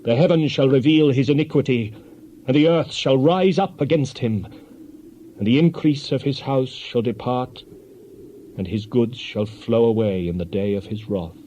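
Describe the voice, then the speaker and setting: A man's voice: mid-range voice, normal speed, warm tone, natural voice. An actor playing a stern ancient man who condemns the wicked in a solemn, declamatory scripture dramatization. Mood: ominous, grave. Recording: dull lo-fi audio with faint noise.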